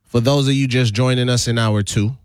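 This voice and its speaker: A young man co-hosting a radio show, joking around in slangy, animated banter. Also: medium pitch, clean tone, no room sound, studio quality. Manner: animated, laughing, slangy, quick to imitate others. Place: radio studio.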